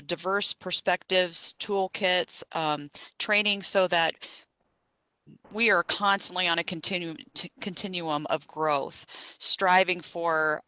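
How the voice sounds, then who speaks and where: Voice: mid-range voice, sharp tone, clear, resonant tone, no echo, rough recording. A vaccine program coordinator speaking on a video call panel, earnest with a few stumbles.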